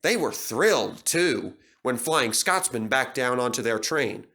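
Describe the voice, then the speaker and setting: Male storyteller for scripted children's narration, mid-range pitch. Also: animated, measured pace, bright, forceful tone.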